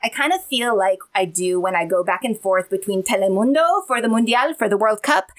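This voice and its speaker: A woman's voice: mid-range voice, lively intonation, brisk, no echo. Playful chatty woman hosting a podcast. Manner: playful, chatty, laughs often. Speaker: podcast co-host. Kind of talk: casual interview chat.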